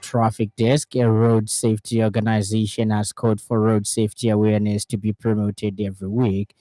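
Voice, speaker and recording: Low, mellow Zambian male newsreader. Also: little pitch movement, moderate pace, no room sound. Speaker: radio news reader. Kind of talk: scripted news bulletin narration.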